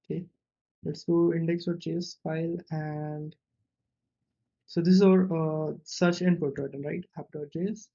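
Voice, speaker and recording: A man presenting a casual screencast coding tutorial, thinking aloud step by step in a halting way with little check-in tags. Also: a little high, little pitch movement, gravelly, warm tone. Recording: dull, muffled lo-fi audio.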